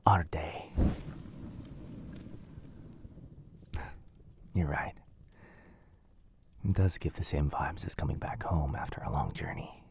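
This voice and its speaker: A man playing a kind, flustered young wizard in an intimate boyfriend ASMR roleplay, speaking softly and gently with some hesitation. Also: rumbling low, big pitch swings, normal speed, gravelly, slight background noise, exaggerated voice. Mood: hushed, tender.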